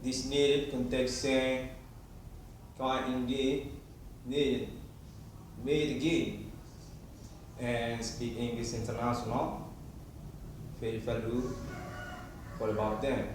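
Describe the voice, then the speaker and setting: Indonesian-accented man for an improvised, disjointed monologue; halting phrasing, recorded far from the mic with clear background noise. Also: medium pitch, little pitch movement. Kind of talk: rambling improvised monologue to camera. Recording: very echoey room, distant mic, clear noise.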